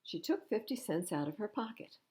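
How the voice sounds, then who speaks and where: A woman's voice: mid-range voice, very animated, brisk, darkish tone. A woman reading a storybook aloud to children as a storytime host, with chatty asides. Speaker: library storytime reader.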